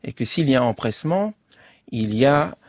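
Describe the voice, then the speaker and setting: A man speaking as an author and guest in an interview, thinking aloud in measured talk with many fillers and restarts, recorded close to the mic. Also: mid-range voice, animated, darkish tone, dry recording. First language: French.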